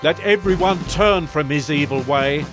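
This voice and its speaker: An actor playing a solemn, penitent ancient king who makes a grave, halting proclamation in a dramatized scripture reading. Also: on the high side, close to an everyday voice. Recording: music under the voice.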